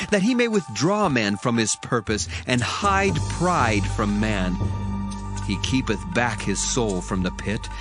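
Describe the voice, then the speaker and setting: Male actor as indignant young man, biblical drama, lively intonation, emphatic declamation, archaic formal speech, faint music behind. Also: medium pitch, natural voice. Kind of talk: dramatized scripture reading, declamatory.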